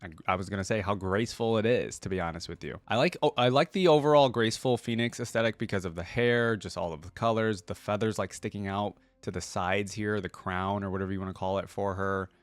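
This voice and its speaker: A man's voice: fairly low pitch, fairly quick, few pauses, no room sound. A man hosting a reaction video in a casual, informal way, thinking aloud and speaking to his viewers. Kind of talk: casual reaction commentary, some reading aloud.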